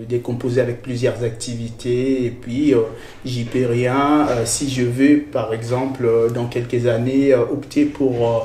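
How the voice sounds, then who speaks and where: Hesitant man from the Congo, mellow voice. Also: medium pitch, flat delivery, slight background noise. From Democratic Republic of the Congo. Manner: hesitant, many filler sounds, measured. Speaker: guest, researcher and lecturer. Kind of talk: interview answers. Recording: roomy echo.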